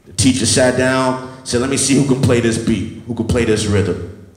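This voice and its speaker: A man's voice: medium pitch, steady tone, moderate pace. Motivational man in his thirties, echoey room. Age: 30s. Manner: motivational, repetitive, preacher-like cadence. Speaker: music producer. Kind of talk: telling his life story to a live audience. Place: event hall with an audience.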